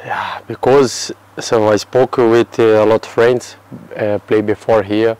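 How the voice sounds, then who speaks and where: A man in his thirties from Brazil, answering interview questions in halting English with a Brazilian Portuguese accent and many restarts. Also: fairly low pitch, level tone, a little mellow, clean tone, rough recording. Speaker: football player. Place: outdoor terrace by a yellow building.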